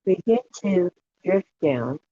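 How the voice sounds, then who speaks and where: A guided imagery facilitator leading a relaxation meditation in a soothing, unhurried way, leaving long pauses between short phrases. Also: medium pitch, lively intonation, mellow. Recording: dull lo-fi audio with clear background noise and clicks.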